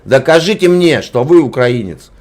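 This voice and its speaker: A man's voice: mid-range voice, expressive, normal speed, a little mellow. A man hosting, arguing hotly and scornfully with a stranger, swearing and repeating his demands. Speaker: channel host arguing with a stranger. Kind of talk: heated argument, swearing.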